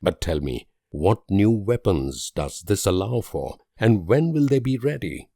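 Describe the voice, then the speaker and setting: An actor playing a grave, idealistic and world-weary political leader in a strategy game, speaking in measured, solemn oratory with dramatic pauses. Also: male voice, lowish voice, very animated, creaky, dark tone, dry recording, studio quality, exaggerated voice. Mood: cool, demanding.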